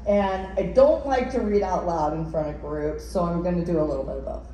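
A woman's voice: on the low side, a little fast. A woman giving a conference presentation, partly from a script, projecting her voice as a workshop presenter in a large room. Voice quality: loud, projecting voice. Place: large indoor conference ballroom. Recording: very echoey room, some noise, distant.

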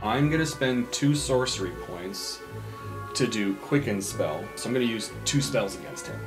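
Low-voiced sweary male tabletop player. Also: lively intonation, moderate pace, over music. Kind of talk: casual improvised roleplay chat.

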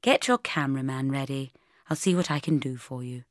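A woman's voice: extremely low pitch, brisk, no echo, clean studio sound, pitched below the performer's own voice. A woman voicing a cool, composed male barrister who is dryly teasing, clipped and understated. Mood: amused.

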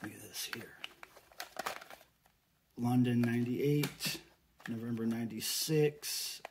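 A middle-aged man with an American accent chats informally to camera in a home room. His voice sits at an average male pitch, with a folksy, casual unboxing-vlog delivery. There is clear noise behind him, along with small clicks. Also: takes it slowly. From United States. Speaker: vlogger, record collector and fan site manager.

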